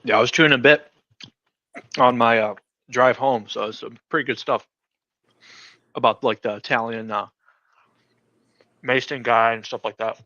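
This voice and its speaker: A man chatting as a guest on a livestream from outdoors, relaxed and easygoing. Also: medium pitch, normal speed, long pauses, no echo. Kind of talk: casual chat. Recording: dry, dull lo-fi.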